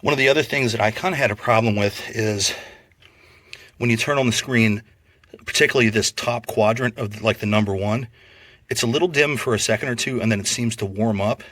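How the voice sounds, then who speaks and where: A man with a medium-pitched voice talks through a product review casually and without a script. He explains things in a plainspoken, measured way and is easygoing about small flaws. The sound is dry, with very little room echo. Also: fairly bright tone.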